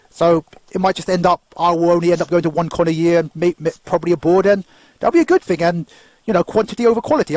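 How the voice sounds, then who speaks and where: A high-voiced man in his thirties talks at a quick clip, rambling through tangents and laughing often as he hosts a podcast chat. The sound is dry and close, with little room. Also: darkish tone, no echo. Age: 30s. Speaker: podcast host. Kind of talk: casual panel chat.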